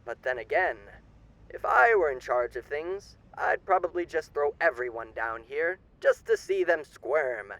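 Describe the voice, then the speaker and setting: A man's voice: a little high, lively intonation, unhurried, a bit bright, rough recording. A man playing a suave, sinister demon with a theatrical, condescending manner and old-fashioned genteel endearments in a roleplay monologue. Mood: gleefully cynical.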